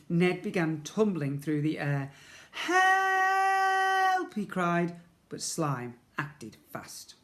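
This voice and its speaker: A man reads a storybook aloud for young children. His delivery is expressive and playful, with dramatic emphasis, repeated words and spoken sound effects. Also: a little high. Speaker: primary school teacher reading to children.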